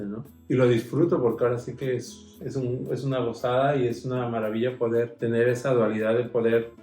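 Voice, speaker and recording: A man from Mexico speaks in an expressive, conversational interview style. His voice sits at a middle pitch, with noticeable room echo and music playing softly behind him. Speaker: drag queen street performer. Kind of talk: personal interview talk.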